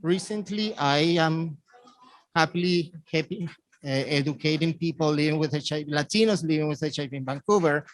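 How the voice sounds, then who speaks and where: A man's voice: on the high side, no room sound, rough recording. Venezuelan-accented man presenting, dry close sound. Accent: Venezuelan Spanish. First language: Spanish. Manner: halting, simply phrased second-language English. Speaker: community presenter. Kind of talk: conference presentation.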